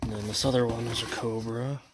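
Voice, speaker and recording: A young man chatting casually in a vlog, halting and full of fillers, filming indoors at a house. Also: medium pitch, level tone, noisy background. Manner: halting, many fillers, unsure. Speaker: vlogger. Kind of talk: casual show-and-tell vlog.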